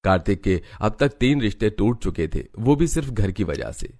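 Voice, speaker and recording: Low-voiced man narrating a cartoon story. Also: big pitch swings, moderate pace, darkish tone.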